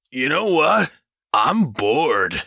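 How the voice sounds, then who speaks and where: A man's voice: a little high, sing-song, drawn-out words, gravelly, pushed and bright, dry recording, clean studio sound, full character voice. A man playing a goofy, boastful, drunken adventurer in a fantasy audio drama, slurring and muddling his words. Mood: tipsy, playful.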